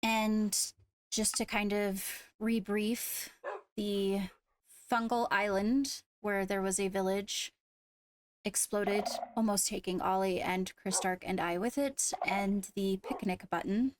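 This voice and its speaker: A woman playing a composed court leader in a Minecraft roleplay, speaking formally and haltingly as she gives a briefing. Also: medium pitch, steady tone, background noise, natural voice. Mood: measured, serious. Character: measured female court leader briefing on attacks. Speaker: roleplayer leading a court meeting.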